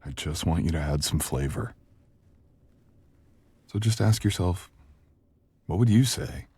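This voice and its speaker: A man playing a sharp, confident, flirtatious book editor in an intimate, conversational audio roleplay, speaking in a measured, teasing manner. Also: deep voice, animated, husky, no echo, full character voice. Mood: teasing, encouraging.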